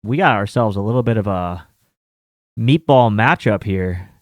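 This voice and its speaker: A man's voice: fairly low pitch, lively intonation, normal speed, no echo. A man around thirty hosting a sports betting show, talking in a rambling, casual way with many fillers and some mild swearing. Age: 30s. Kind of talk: casual chat.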